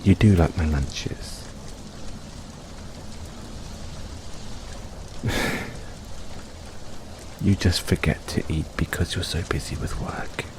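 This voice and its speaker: A man playing a caring, gently teasing boyfriend in a soft-spoken, playful comfort roleplay for an overworked partner. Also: low-pitched, slow, slight background noise. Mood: teasing, fond. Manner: soft-spoken, playful, coaxing, chuckles often.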